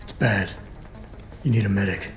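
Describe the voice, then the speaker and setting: A man acts a stoic game hero with a fairly low voice, terse and commanding. He sounds determined, in an echoey room with music clearly behind him. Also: fairly even intonation, moderate pace, lightly acted. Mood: grim, determined. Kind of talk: video game dramatic acting.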